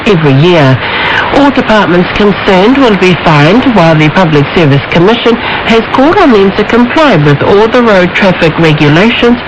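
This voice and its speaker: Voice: mid-range voice, lively intonation, moderate pace. A radio news reader with a New Zealand accent reads a scripted bulletin over shortwave. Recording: noisy shortwave radio broadcast, heavy static.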